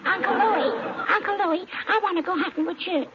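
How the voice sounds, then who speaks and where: An extremely high-pitched little girl, cheeky and cutesy, tossing out smart-aleck lines in old radio comedy style. The sound is dull, with an audience behind it. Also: brisk, over a noisy crowd. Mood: cheeky, pleading. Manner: cutesy childish delivery of smart-aleck comebacks. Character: bratty, wisecracking little girl, three and a half. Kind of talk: 1940s radio comedy acting. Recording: dull, noisy 1940s radio recording, audience. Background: studio audience.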